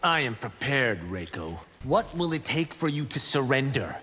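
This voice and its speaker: A young Shaolin fighter full of playful confidence. His mid-pitched, animated voice banters cockily in a reverberant game mix. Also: moderate pace, a little hiss or hum. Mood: confident. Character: cocky, wisecracking young Shaolin monk fighter. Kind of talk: video game character acting.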